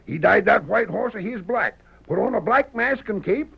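An actor playing a blustering, crooked rural western sheriff with a raspy voice and a folksy American drawl in a radio drama. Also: male voice, sits high, lively intonation, normal speed, heavily acted. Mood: insistent, sly. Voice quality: raspy, creaky. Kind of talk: old-time radio western acting. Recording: muffled old radio broadcast.

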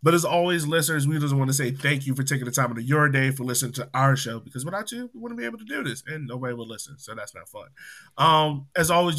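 Bright upbeat podcast host man. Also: mid-range voice. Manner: upbeat, joking. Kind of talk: casual podcast chat.

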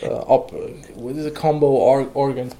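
A young man who grew up in Iran, speaking English as a guest in a casual interview, halting with many fillers and restarts. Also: mid-range voice, fairly even intonation, mellow, a little hiss or hum. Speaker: guest, electronic music producer.

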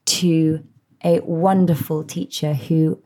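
A woman, hosting a podcast and speaking gently and heartfelt to her listeners. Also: deep voice, little pitch movement, slow. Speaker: podcast host. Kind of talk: reflective solo podcast address.

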